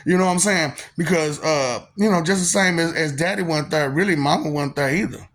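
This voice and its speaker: A man in his thirties speaks in a soft, polite-sounding voice, pitched a little higher than most men. He tells a personal story in a laid-back, slangy way, circling back with fillers and breaking into laughs along the way. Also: fairly quick, a little hiss or hum. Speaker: vlogger telling a personal story. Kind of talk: casual storytelling monologue.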